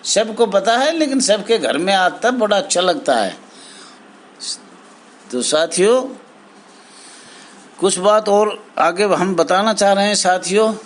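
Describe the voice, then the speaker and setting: A man giving an informal grievance speech to members as an association spokesman, in halting, repetitive phrases with emphatic appeals. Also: high voice, expressive. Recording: clear background noise, amateur recording.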